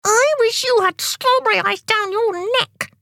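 A woman voicing an excitable, whiny, pleading young brother in a children's storybook. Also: very high voice, measured pace, dry recording, studio quality, voice pushed up, full character voice. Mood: angry.

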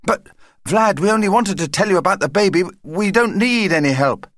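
A man puts on a young boy for a children's story. He sounds polite, earnest and easily excited, lifted well above a man's usual pitch, in a dry, close recording. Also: normal speed, dry recording. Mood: anxious, earnest.

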